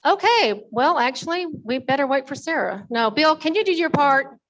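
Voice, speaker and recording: A woman with a high voice gives an informal committee report to an audience. She is folksy and conversational, chatting to the room as she goes. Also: expressive, moderate pace, a bit bright, dull audio. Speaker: presenter at a members meeting.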